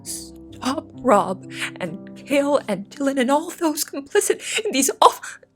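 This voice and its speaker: A woman's voice: sits high, big pitch swings, takes it slowly, fairly bright tone, soft background music, heavily acted. A woman playing a young, anxious, secretive cook who narrates an audio drama in the first person. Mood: anguished.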